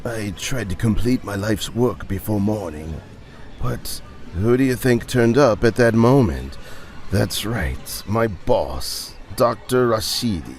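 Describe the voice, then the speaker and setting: A man voicing a dejected, self-blaming research scientist in an audio drama, speaking haltingly and emphatically. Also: fairly low pitch, expressive, soft background music. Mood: bitter.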